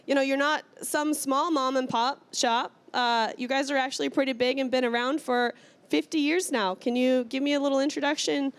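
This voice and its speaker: A woman from Iceland with a fairly high voice hosts a trade-show interview. She talks casually and with enthusiasm, keeping her questions informal. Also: steady tone, normal speed, dry recording. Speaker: interview host.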